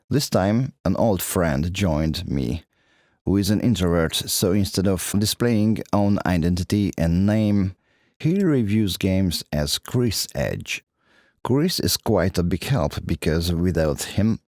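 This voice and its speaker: Low-pitched Hungarian-accented man, dry close sound. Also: darkish tone, dry recording. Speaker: musician and video channel host. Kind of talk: casual video update to camera.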